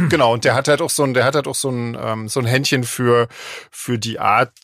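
A man's voice: medium pitch, fairly even intonation, a little fast, fairly bright tone, no room sound, clean studio sound. A man, a singer and lyricist, chatting casually on a podcast, hesitant with lots of fillers and frequent laughs.

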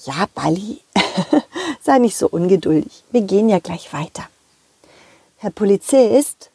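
A woman's voice: mid-range voice, lively intonation, unhurried, dark tone, breathy edge, no echo, exaggerated voice. A woman voicing a confident, loyal, friendly dog who tells children's audio stories and speaks straight to young listeners. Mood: cheerful, friendly. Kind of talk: children's audio play narration.

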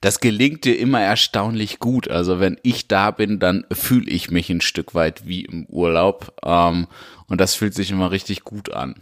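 Low-voiced man hosting a podcast. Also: moderate pace. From Karlsruhe, Germany. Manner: friendly, asks long strings of questions. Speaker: podcast host. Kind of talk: casual interview hosting.

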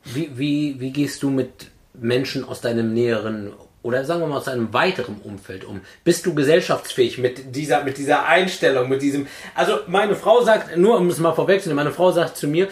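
Man host, eager, affirming, mid-pitched, roomy echo. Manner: eager, affirming, lots of quick agreement. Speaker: podcast host. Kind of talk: casual interview.

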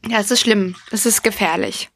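A woman's voice: medium pitch, steady tone, moderate pace, fairly bright tone, a little hiss or hum. A young woman co-hosting a podcast, chatting casually, blunt and swearing freely as she acts out quotes. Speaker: podcast co-host. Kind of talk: casual chat.

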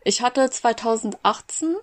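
Young female guest, unhurried, giggly, lots of ums. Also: on the high side. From Berlin, Germany. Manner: giggly, hesitant, many fillers. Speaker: podcast guest. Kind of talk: casual podcast interview.

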